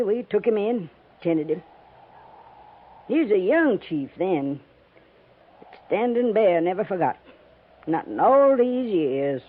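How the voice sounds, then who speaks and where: An actress playing a tough, stubborn frontier homesteader woman with a rural American accent and a blunt, folksy manner in a radio western. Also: medium pitch. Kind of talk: 1950s radio western acting. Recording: tinny, band-limited 1950s radio recording.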